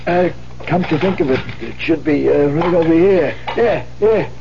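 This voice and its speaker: Man acting a high-pitched shifty crook shopkeeper. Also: moderate pace, natural voice. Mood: casual, wheedling. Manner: streetwise, folksy slangy grammar, hemming. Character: shifty, wary small-time shopkeeper fencing stolen goods. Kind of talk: 1970s radio drama acting. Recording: muffled, noisy 1970s radio recording.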